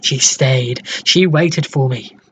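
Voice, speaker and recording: Man acting a slow, lovesick, tormented soul. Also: mid-range voice, lightly acted. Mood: tender, overwhelmed. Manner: halting, breathy, drifting into whispers. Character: dying, lovesick tormented man confessing his tender, morbid obsession. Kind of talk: dramatic monologue reading. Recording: dull, slightly noisy home recording.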